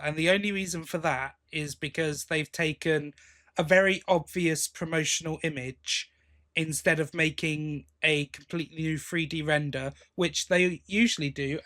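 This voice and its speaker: A man's voice: fairly high pitch, bright, forceful tone. A man co-hosting a podcast, chatting casually about news. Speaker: podcast co-host. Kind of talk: casual chat about sci-fi audio drama news.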